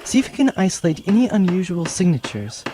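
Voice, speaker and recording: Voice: mid-range voice, very animated, fast, noisy background, natural voice. A performer playing an earnest, scholarly female archaeologist in a space audio drama, explaining things articulately. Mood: businesslike. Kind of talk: hobby audio drama acting.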